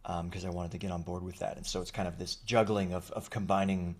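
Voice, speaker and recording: A man in his forties who grew up in Thailand tells his story as a guest. He talks in a low voice, reflective and halting, with frequent fillers. He is heard over a video call. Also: flat delivery, a little mellow. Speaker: actor guest. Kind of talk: conversational interview.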